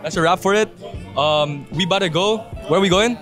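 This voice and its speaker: A man's voice: high-pitched, a little fast, music in the background. Laid-back slangy young man vlogging. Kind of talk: casual vlog chat.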